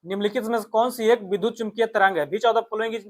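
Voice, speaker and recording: Male exam tutor, high-voiced, explains step by step and restates key points. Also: steady tone, quick pace. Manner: instructive, repeats points and reads questions aloud in Hindi and English. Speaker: exam coaching teacher. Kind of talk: explanatory test-prep lesson.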